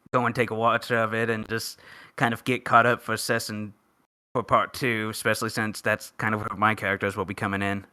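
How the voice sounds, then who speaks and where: Male podcast guest, smooth clear tone, close dry sound, earnest conversational delivery. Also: on the low side, pushed and bright, no room sound. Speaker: podcast guest, fandub participant. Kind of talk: casual chat.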